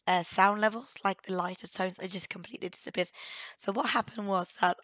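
Hoarse woman with a bright voice. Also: medium pitch, fairly even intonation, fairly quick, no room sound. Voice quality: croaky from a cold. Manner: hesitant, thinking aloud. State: hoarse with a cold. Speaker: gaming video host. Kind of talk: casual let's play commentary.

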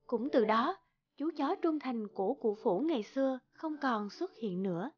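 A woman's voice: on the high side, animated, normal speed, lo-fi sound. A woman reading scripted storybook narration as the narrator of a folktale.

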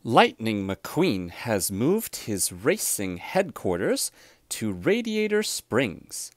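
A man reads a children's storybook aloud with deliberate, expressive phrasing. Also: mid-range voice, no echo, clean studio sound. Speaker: storybook reader.

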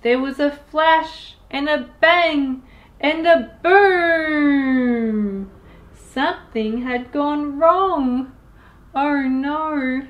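Casting: female storytime reader, 30s, highish voice, extremely unhurried delivery, expressive with young listeners. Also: dull audio. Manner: expressive, deliberate, with sound effects and asides to listeners. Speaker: children's storytime host. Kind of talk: picture-book read-aloud for young children.

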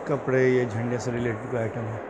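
A man in his thirties giving a casual walking tour of a large museum hall, pointing out exhibits in a loose, halting way on a handheld phone. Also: medium pitch, level tone, dull audio. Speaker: travel vlogger. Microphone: phone held at arm's length with earphone mic. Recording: loud hall background noise.

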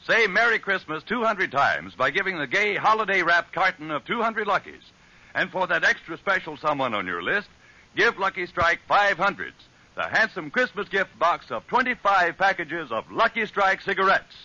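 A man's voice: high voice, big pitch swings, moderate pace, sharp tone. An American man announcing a scripted 1940s radio advertisement. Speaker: commercial announcer. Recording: dull old radio recording.

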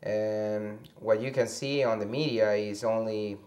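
A young man from Venezuela with a Venezuelan accent, a native Spanish speaker answering interview questions haltingly, searching for words, on a lapel mic indoors. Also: on the low side, steady tone, darkish tone. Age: young adult. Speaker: interview guest. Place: indoor room with a fireplace, seated.

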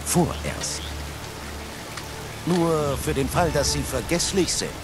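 A man playing a middle-aged crime boss villain, mock-courteous and deliberate, in a German video game dub. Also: fairly high pitch, expressive, music in the background. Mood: cold threat. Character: smooth, politely menacing male crime boss villain.

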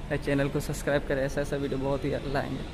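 A man's voice: mid-range voice, completely flat delivery, racing. A man giving a casual walking tour of a shopping mall, recorded on a handheld phone and pointing things out to his viewers. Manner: points things out to viewers, repeats "look here". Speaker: vlogger. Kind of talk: casual walk-through vlog. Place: large indoor shopping mall. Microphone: handheld phone camera. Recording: phone recording, loud music behind. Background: loud background music.

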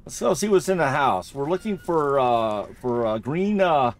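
Creaky-voiced man, animated gamer. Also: medium pitch, moderate pace. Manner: rambling, jokey, repeats himself. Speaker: gamer narrating a playthrough. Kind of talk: casual gameplay commentary.

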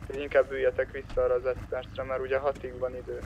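A man's voice: medium pitch, completely flat delivery, creaky, muffled recording. Man, mid-range voice, casual gaming talk, explanatory, noisy vehicle ambience. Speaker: gamer. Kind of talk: casual chat during online roleplay gameplay.

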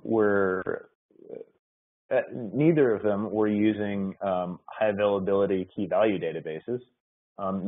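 Guy presenting tech benchmarks on a thin phone-like line, lots of ums and restarts, kinda low voice. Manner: halting, many fillers and restarts. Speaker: software engineer presenting benchmark results. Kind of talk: online meetup presentation. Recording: tinny phone-like web call audio.

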